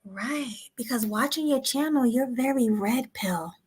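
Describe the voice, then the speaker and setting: A woman's voice: a little high, measured pace, darkish tone. An American woman from New Jersey, around her thirties, hosting a casual interview in an informal, encouraging way. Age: 30s. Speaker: host interviewer. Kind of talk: casual live-stream interview.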